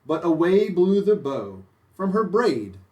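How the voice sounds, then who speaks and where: A storybook reader giving an expressive children's read-aloud narration. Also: medium pitch, slow, recorded from a distance.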